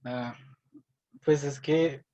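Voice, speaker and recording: A man's voice: medium pitch, steady tone, extremely slow, mellow, dull audio. A man sharing thoughts in a casual Bible discussion, hesitant and full of fillers. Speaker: Bible study participant.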